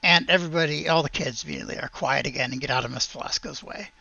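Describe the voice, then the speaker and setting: A man acts as game master for a tabletop roleplaying game, narrating in a bright, fairly high voice with a gravelly edge. He speaks haltingly, searching for words. The sound is dry and close. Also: dry recording, muffled recording.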